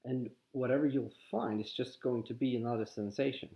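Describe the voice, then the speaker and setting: A man with a Swedish accent, whose first language is Swedish, calmly guiding listeners through a contemplative teaching, often pausing and restarting his sentences. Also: medium pitch, gravelly. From Sweden. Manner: calm, halting, with frequent restarts. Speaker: spiritual teacher. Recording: slightly dull lo-fi audio.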